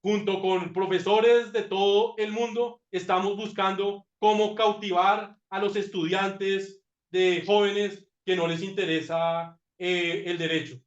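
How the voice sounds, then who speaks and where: A man's voice: sits high, little pitch movement, unhurried. A man presenting his doctoral thesis in a master class, talking reflectively with frequent fillers and repeated words. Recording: roomy, dull lo-fi sound.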